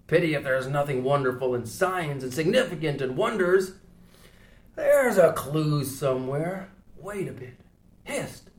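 A man reading an audiobook, voicing a jovial, talkative second mate in a brisk, loud, chattering manner. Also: a little high.

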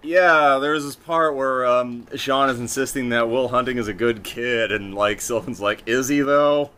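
A man's voice: medium pitch, measured pace, pushed and bright, clear, resonant tone. A man hosting a casual film discussion podcast. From Massachusetts, United States. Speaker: podcast host.

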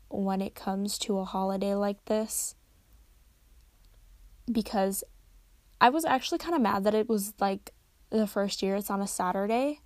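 A tired young woman hosting a casual solo podcast at home, rambling and correcting herself, her voice slightly raspy. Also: medium pitch, a little mellow, no echo. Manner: rambling, chatty, self-correcting. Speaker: podcast host. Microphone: close desk microphone.

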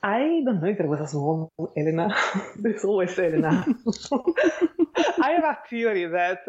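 A woman with an Italian accent and a low, mellow voice answers interview questions. She speaks in a measured, thoughtful way, articulate but pausing as she reflects. The recording is slightly dull. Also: sing-song, slightly rough. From Italy. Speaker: interview guest, architect and educator. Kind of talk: reflective interview talk about own life.

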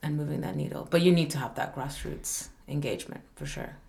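A woman's voice: low voice, brisk, gravelly, mellow, echoey room. A woman from Malawi, a humanitarian staff representative, speaking earnestly as a podcast guest, often circling back with fillers and restarts. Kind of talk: podcast conversation.

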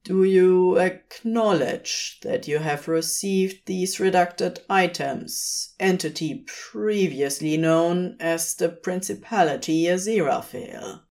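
A woman's voice: lowish voice, slow pace, few pauses, darkish tone. A woman voicing an expressionless, solemn angel administrator in an audiobook-style story, intoning in stiff formal phrases.

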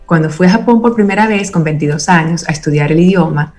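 Lower-pitched woman, earnest interview guest. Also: quick pace, a little mellow, faint music behind. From Venezuela. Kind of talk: reflective conversational interview.

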